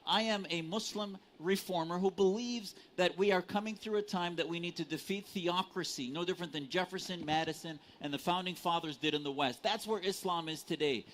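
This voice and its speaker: A man's voice: high voice, fairly quick, few pauses, fairly bright tone, a little hiss or hum. A man from the United States in a live panel debate, speaking earnestly and insistently with formal vocabulary. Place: conference stage.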